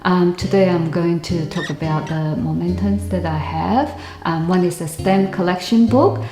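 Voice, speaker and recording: A woman's voice: fairly low pitch, normal speed, dark tone. A woman listing her keepsakes in a plain, orderly way with a few ums. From Taiwan. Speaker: interviewee. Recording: echoey room.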